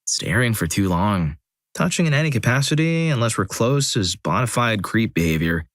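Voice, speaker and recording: A man narrating a compilation of first-person stories in a steady, scripted reading, with dry, wry asides. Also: on the low side, moderate pace, no echo.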